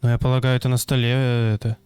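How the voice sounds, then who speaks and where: A man's voice: mid-range voice, flat delivery, fast, a little mellow, clean tone, soft background music. A young man thinking aloud and joking casually while playing a detective game. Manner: mumbling, wisecracking, thinking aloud. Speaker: gameplay streamer. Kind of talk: casual let's-play commentary.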